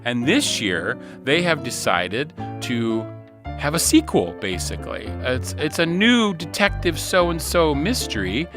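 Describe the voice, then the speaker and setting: A man's voice: medium pitch, very animated, measured pace, over music. A man hosting a radio show, chatting casually and live from the studio.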